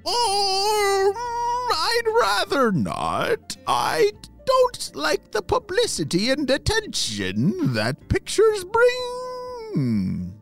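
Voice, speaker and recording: A woman voicing a polite, shy male monster in a children's story, with drawn-out, wobbly, exaggerated speech over music. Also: extremely high pitch, wildly swooping pitch, pitched above the performer's own voice, full character voice. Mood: polite, reluctant. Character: gentle slow-talking moon-eating monster, male, polite and shy.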